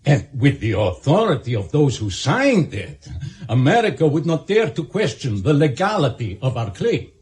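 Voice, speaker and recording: Man acting a shrewd Soviet official, expressive pitch. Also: moderate pace, close to an everyday voice. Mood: shrewd, questioning. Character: deferential but shrewd senior Soviet official. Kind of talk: radio drama acting.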